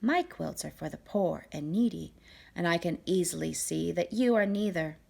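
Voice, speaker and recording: A woman voicing a calm, firm and generous quiltmaker in a storybook, speaking in a measured, assured way. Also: fairly low pitch, creaky, exaggerated voice.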